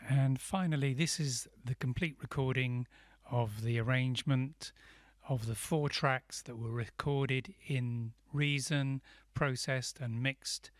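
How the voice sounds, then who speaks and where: A man's voice: medium pitch, steady tone, slow pace, no echo. Man giving a dry-sounding tutorial. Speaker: instructor. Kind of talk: step-by-step tutorial narration.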